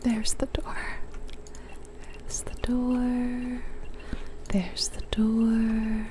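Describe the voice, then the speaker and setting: A young woman whispering softly as she plays a building game, a little sniffly from a cold, musing to herself. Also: a little high, completely flat delivery, extremely slow, a little hiss or hum. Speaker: ASMR gaming streamer. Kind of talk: whispered ASMR gameplay commentary.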